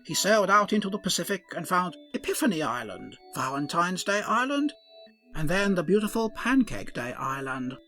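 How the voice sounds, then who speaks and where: A man's voice: high-pitched, sing-song, moderate pace, bright, forceful tone, soft background music, exaggerated voice. A man voicing a fussy, earnest male ship's doctor who speaks formally in an odd, blended put-on accent, for a comic audio drama.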